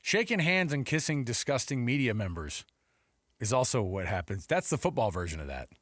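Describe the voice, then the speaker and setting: A man's voice: mid-range voice, big pitch swings, a little fast, a bit bright, dry recording, clean studio sound. A middle-aged man hosting casual sports talk radio, telling stories in a jokey way and acting out mock quotes.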